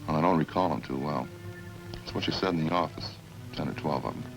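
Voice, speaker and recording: An extremely low, creaky voice of a remorseless drifter, talking flatly and without hurry. It is old-time radio crime drama, with music clearly behind the words. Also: male voice, rough recording. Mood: casual, remorseless. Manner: flat, casual, unhurried confessing. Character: matter-of-fact, remorseless male drifter confessing to killings.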